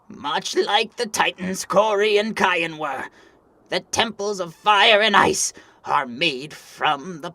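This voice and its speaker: A man voicing a solemn guardian in an animated fantasy, with a grave, declaiming delivery. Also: high voice, creaky, no room sound, heavily acted.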